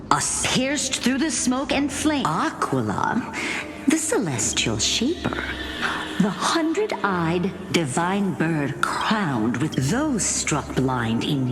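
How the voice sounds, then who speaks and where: Slow, solemn warrior grandmother spirit. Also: fairly low pitch. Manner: solemn, measured, expressive. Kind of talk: voiced game story dialogue. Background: music.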